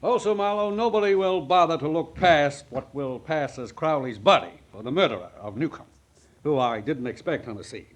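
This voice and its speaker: An actor playing a smug, scheming murderer in a 1940s radio crime drama, smooth-talking and menacing. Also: male voice, on the high side, animated, moderate pace. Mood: smug, explaining. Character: scheming, smug male murderer, crooked business partner.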